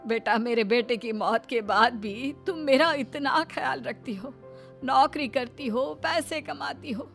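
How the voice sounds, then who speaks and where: A woman's voice: high-pitched, measured pace, vocal fry, fairly bright tone, exaggerated voice. A woman voicing an older mother-in-law in a cartoon moral story, in a dramatic, earnest style. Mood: remorseful, earnest. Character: older mother-in-law, stern then remorseful and caring. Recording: background music under the voice.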